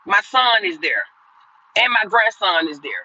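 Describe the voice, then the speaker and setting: A woman's voice: medium pitch, lively intonation, unhurried, bright, forceful tone, slightly rough, lo-fi sound. A woman in her fifties hosting a livestream, chatting casually with viewers and answering their comments in a rambling, repetitive way. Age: 50s. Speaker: livestream host.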